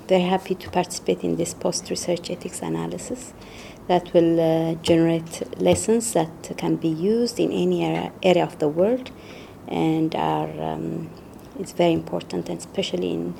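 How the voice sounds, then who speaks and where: Female researcher, Ethiopian accent, intensely gravelly fry, mellow tone, hesitant testimonial. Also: fairly low pitch, a little hiss or hum. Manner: hesitant, broken phrasing. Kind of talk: short testimonial.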